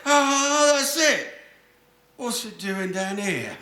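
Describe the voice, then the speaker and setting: A man's voice: high-pitched, very animated, slow, bright, forceful tone, heavily acted. A man playing a cantankerous, sardonic old father in a stage radio comedy, blustering and grumbling. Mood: indignant, baffled. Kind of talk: stage radio-play acting in old-fashioned domestic comedy. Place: theatre stage before an audience. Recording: roomy live theatre stage recording.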